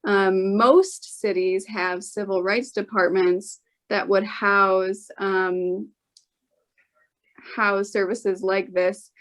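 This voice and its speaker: A woman speaking off the cuff as a political candidate at a forum, careful in her wording and often pausing to rephrase. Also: medium pitch, fairly even intonation, a bit bright. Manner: careful, hedging, pauses to reword.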